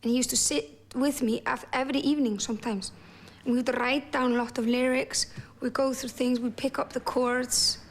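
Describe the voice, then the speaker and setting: A woman's voice: high-pitched, steady tone, normal speed. A woman with an Icelandic accent, talking reflectively in a documentary interview and often restarting and repeating words as she searches for her thoughts.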